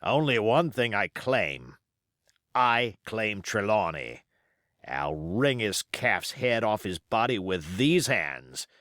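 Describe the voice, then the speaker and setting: A man voicing a sly, commanding pirate sea cook in a storybook, with folksy sailor grammar and deliberate, weighty delivery. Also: on the low side, big pitch swings, a bit bright, no echo, clean studio sound, full character voice. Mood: cold, menacing.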